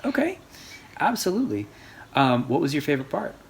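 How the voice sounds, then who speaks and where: An adult storyteller reading a rhyming picture book aloud for children in an expressive, sing-song way. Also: medium pitch, a little fast, vocal fry. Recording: faint background noise.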